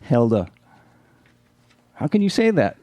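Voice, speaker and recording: An actor playing a blunt, skeptical, penny-pinching husband in a live radio play, with wry, slangy talk. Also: male voice, mid-range voice, very animated, a little slow, mellow, no room sound. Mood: defensive, blunt.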